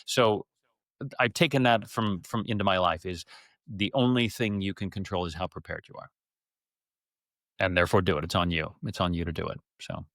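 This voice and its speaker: A man's voice: low-pitched, fairly even intonation, moderate pace, fairly bright tone, no echo, clean studio sound. A middle-aged man chatting casually as an interview guest, candid and often interrupting himself mid-thought as he tells stories. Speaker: interview guest, stage and radio theater actor.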